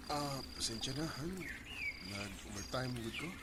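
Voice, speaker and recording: A Bisaya radio drama husband played by a male actor, hesitant and guilty, speaking with a Cebuano accent at an ordinary male pitch. The recording is very noisy. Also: a little slow, close to an everyday voice. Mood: apologetic. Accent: Filipino, Cebuano Bisaya. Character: married man, hesitant and guilty about an affair.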